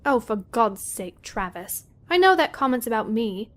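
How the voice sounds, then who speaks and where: A woman doing a highish voiced teen college girl, wry and grumbly, talking to herself, audio drama. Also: sing-song, normal speed, fairly bright tone. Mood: indignant. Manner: wry, grumbling inner monologue. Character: sardonic self-critical seventeen-year-old college girl.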